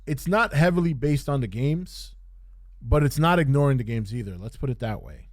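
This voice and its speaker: A man's voice: medium pitch, expressive, dry recording. Man casually reviewing, dry close sound. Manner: halting, repeats phrases for emphasis. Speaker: podcast host reviewing TV shows. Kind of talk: casual unscripted review commentary.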